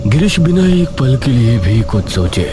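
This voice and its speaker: A man narrating a horror cartoon in a slow, dramatic, suspenseful voice. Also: medium pitch, big pitch swings. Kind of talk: scripted horror storytelling. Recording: music bed under voice.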